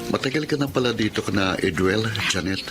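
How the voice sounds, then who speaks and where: A man's voice: lowish voice, fairly quick, loud music behind the voice, natural voice. A man acting the part of a kind, earnest elder brother in a Filipino radio drama. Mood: gentle, smitten. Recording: clear background noise and ambience.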